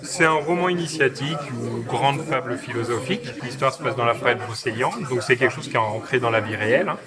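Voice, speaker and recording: A man's voice: medium pitch, fast. A man from the Chartreuse, an author, earnestly explaining his ideas in a casual interview. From Chartreuse, France. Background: crowd of book fair visitors.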